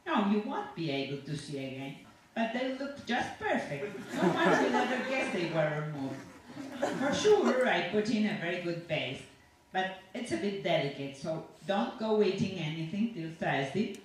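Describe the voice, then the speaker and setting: A woman's voice: medium pitch, very animated, measured pace. A woman reading a story aloud as a chatty, self-satisfied, sinister dentist who rambles on with cheerful reassurance to a patient. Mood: breezy, macabre. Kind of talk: dramatic monologue read aloud to an audience. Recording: echoey room from far off, faint noise.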